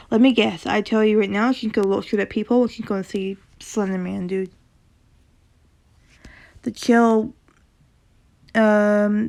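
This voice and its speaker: A woman with a sore throat reads a horror story in a casual way, her voice gravelly and slightly raspy. Her delivery stays fairly flat and even. She often trips over words, corrects herself and adds quick casual reactions between passages. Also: mid-range voice. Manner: stumbles and corrects herself while reading.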